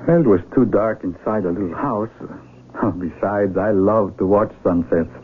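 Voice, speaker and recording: A man's voice: lowish voice, moderate pace, lightly acted. A man acting in a radio drama as an earnest outsider among villagers, with an emphatic, dramatic delivery. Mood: wondering. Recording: tinny radio broadcast with faint noise.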